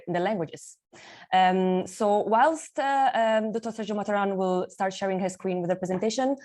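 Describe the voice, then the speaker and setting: A woman moderator introducing the next speaker in a brisk way, with a few fillers. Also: mid-range voice, darkish tone. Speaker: webinar moderator. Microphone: video call.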